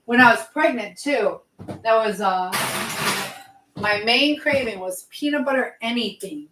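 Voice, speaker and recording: A woman's voice: fairly high pitch, unhurried, a bit bright. A woman hosting a casual live stream while busy in the kitchen, chattily talking to herself and to her viewers and reading out comments. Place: indoors in a kitchen. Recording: echoey room with clear background noise.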